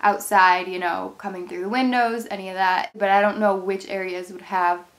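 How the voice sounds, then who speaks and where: A woman's voice: medium pitch, fairly even intonation, normal speed. Brief: young woman, congested cold voice, chatty and rambling craft vlogger, camera mic in a bedroom. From Ohio, USA. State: stuffed up, nasal from a cold. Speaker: craft channel host. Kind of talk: casual product review vlog. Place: bedroom, indoors.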